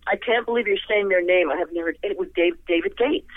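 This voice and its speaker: A woman's voice: lowish voice, quick pace, no room sound. An older woman, a singer, chatting as a guest in an interview, talking effusively and rambling with frequent laughs, heard over the phone. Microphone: telephone. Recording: tinny phone line, dry.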